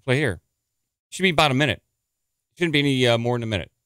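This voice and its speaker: A man's voice: medium pitch, expressive, a bit bright, clear, resonant tone, no echo, clean studio sound. A man hosting a live stream in a chatty, rambling way, a little congested and nasal. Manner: chatty, rambling, quick to gripe. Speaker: podcast host. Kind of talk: casual live-stream talk.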